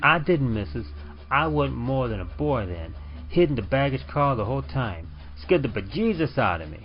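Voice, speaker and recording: A man voicing an indignant, superstitious older train porter with a folksy, excitable Southern accent in an old-time radio drama. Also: medium pitch, moderate pace, voice pushed up, lightly acted. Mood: frightened, excited. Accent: American South. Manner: folksy, dialect-heavy, excitable. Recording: dull lo-fi audio under music.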